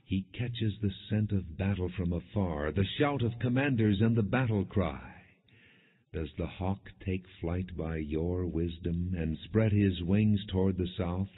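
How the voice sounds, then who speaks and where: A man reading scripture as an audiobook narrator in a solemn, measured, declamatory manner. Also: low-pitched, husky. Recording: muffled, narrow phone-like sound.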